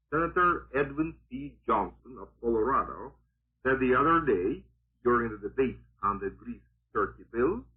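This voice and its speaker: A man's voice: medium pitch, husky. A man reading scripted 1940s radio political commentary in an emphatic, oratorical and sardonic manner. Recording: muffled, narrow-band vintage 1940s radio broadcast.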